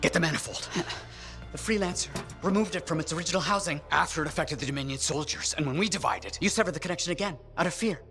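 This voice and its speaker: A man's voice: a little high, fast, a bit bright, faint music behind. An actor playing an eccentric man with a fragmenting mind in a video game, rambling and theatrical. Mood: urgent, inspired. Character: unravelling eccentric man, fragmenting mind, fatalistic yet excitable.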